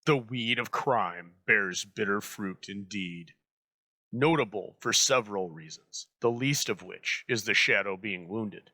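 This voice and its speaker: Bright, close-miked man giving scripted commentary. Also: fairly low pitch, measured pace, no echo. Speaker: host and commentator. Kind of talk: scripted commentary on old radio drama.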